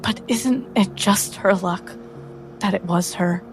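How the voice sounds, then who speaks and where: A woman's voice: mid-range voice, takes it slowly. A woman playing a tabletop game, narrating her character dramatically in the third person in broken phrases. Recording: music behind the voice.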